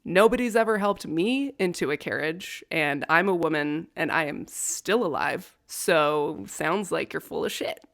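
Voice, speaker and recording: A low-pitched young woman co-hosts a chatty podcast. She tells history with dry, profane humor. Her voice sits deep for a woman and stays relaxed and conversational. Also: a little slow, gravelly, few pauses. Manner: wry, profane, nerdy about law. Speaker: podcast co-host. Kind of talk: casual storytelling chat.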